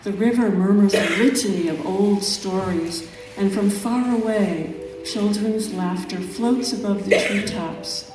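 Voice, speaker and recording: Woman reading her poems at an event, really slow n measured, super echoey room, music in there too. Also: medium pitch, far from the mic. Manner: measured, deliberate phrasing with pauses. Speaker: poet reading own work. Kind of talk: poetry reading at a live event, with brief spoken introductions.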